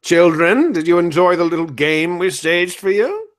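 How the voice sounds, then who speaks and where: A man playing a brisk, self-assured gentleman detective in a live old-time radio comedy. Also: high voice, lively intonation.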